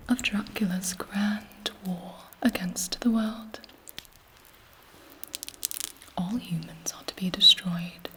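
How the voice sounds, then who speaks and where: A woman voicing a haughty, seductive vampire queen in an ASMR roleplay, speaking softly with an aristocratic, condescending manner. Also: mid-range voice, close to an everyday voice, low-quality recording. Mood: conspiratorial scorn. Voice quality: soft breathy whisper.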